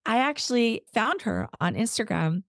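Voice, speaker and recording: A hoarse woman hosting her podcast, her voice gravelly and rough from a cold. She talks in a gentle, unhurried, encouraging way, and the sound is close and dry with no room around it. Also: on the high side, sing-song, dry recording. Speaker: podcast host. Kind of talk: reflective podcast talk.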